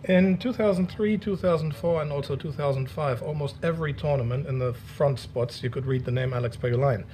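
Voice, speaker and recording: A man in the interviewer's seat with a slightly high voice, asking measured questions and reading out career facts. He pauses now and then with small fillers. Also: mellow, slight background noise. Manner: measured, hesitant, reads facts aloud. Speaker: interviewer, tour reporter.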